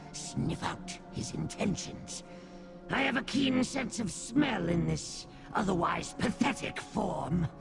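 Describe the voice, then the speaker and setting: An actor playing a small, servile demon minion in a fantasy game, with a creaky, gravelly voice and an obsequious, halting, theatrical manner. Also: male voice, high voice, exaggerated voice. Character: small servile shrunken demon minion, once huge and powerful. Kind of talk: fantasy game character acting. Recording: music behind the voice.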